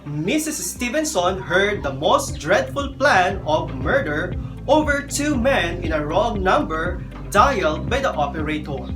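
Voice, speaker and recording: A woman's voice: lowish voice, big pitch swings, measured pace, rough recording. A woman with a Filipino accent narrating a scripted lesson as a teacher. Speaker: teacher presenting a video lesson. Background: background music.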